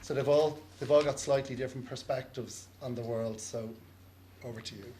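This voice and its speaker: An Irish man moderates a conference session in a loose, chatty way, filling pauses with ums and slipping in small jokes. His voice sits at an ordinary mid pitch. A faint background hum runs under the talk. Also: steady tone, mellow. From Ireland. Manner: chatty, light joking, frequent ums. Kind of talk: conference panel introduction. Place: conference hall.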